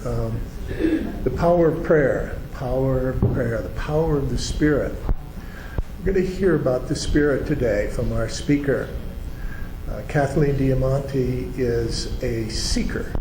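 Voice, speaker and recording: Male host of a fellowship meeting: earnest, deliberate introduction, in an echoing, noisy room. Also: medium pitch. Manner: earnest, repetitive, slow and deliberate. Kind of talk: spoken introduction to a congregation. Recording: echoey room with background noise.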